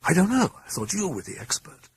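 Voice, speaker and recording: A man's voice: high-pitched, huge pitch swings, brisk, slightly rough, pitched above the performer's own voice, heavily acted. A man voicing a distressed, weary mother in an audiobook, strained and pleading. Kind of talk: audiobook character voice.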